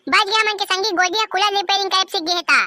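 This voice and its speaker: A shrill, piercingly bright cartoon boy runs his shady shop with brash, scolding banter. The voice is extremely high and squeaky, very dry, with a Chhattisgarhi accent. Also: fast, dry recording, exaggerated voice. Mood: boastful. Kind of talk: comedy cartoon dub in Chhattisgarhi.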